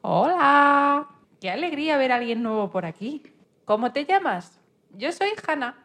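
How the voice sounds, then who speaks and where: A woman playing a cheerful, reassuring female rabbit in a children's radio story, with a friendly, animated manner. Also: high-pitched, measured pace, heavily acted. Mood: welcoming. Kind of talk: amateur radio-play acting of a children's story.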